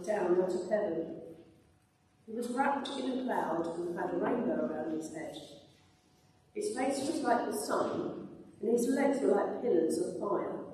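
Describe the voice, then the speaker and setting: A woman's voice: fairly high pitch, warm tone. An older woman reading scripture aloud at a church lectern. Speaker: scripture reader. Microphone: microphone on a boom stand. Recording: very echoey church, distant sound.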